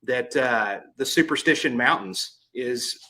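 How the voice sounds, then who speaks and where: A man's voice: medium pitch, measured pace, pushed and bright. A man hosting a podcast interview, reading an introduction and then chatting casually, often repeating words as he starts a thought. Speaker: podcast host.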